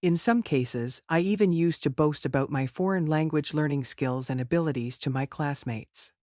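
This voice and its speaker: A man's voice: on the high side, a little mellow, no room sound, studio quality. A man answering mock speaking-test questions in a formal, rehearsed way. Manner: formal, rehearsed, measured delivery. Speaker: test candidate.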